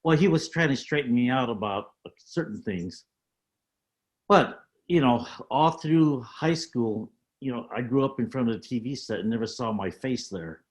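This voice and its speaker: A man in his seventies, a panel guest on a video call, telling stories from his life in a measured, halting way with many fillers. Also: mid-range voice. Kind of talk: reflective storytelling on a panel.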